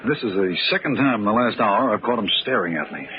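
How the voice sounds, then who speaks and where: An actor playing a self-assured, hearty gentleman charmer in an old-time radio drama, smooth-talking and playfully boastful. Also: fairly low pitch, animated, quick pace, natural voice. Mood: genial, curious. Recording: very muffled, noisy vintage radio recording.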